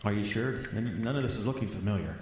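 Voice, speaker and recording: A man's voice: fairly low pitch, lively intonation, fast, very echoey, a little hiss or hum, natural voice. An actor playing a frightened man desperate to flee in a radio drama, stammering and repeating himself nervously. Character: jittery, frightened man who wants to flee. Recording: loud music bed under speech.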